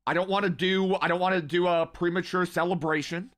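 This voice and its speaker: Hyped young streamer, high bright voice. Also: male voice, steady tone, fairly quick. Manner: hyped, rambling, talks to chat. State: losing his voice. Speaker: streamer hosting a watch party. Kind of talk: excited live-stream chat.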